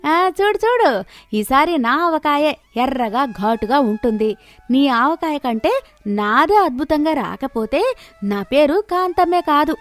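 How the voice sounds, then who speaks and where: Jealous older village matriarch, played by a woman, high voice, exclamatory and aggrieved, for a moral story cartoon. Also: expressive, measured pace, soft background music. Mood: boastful. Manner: wailing, exclamatory complaining. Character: jealous, loud, self-pitying older village mother-in-law. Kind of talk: animated moral story voice acting.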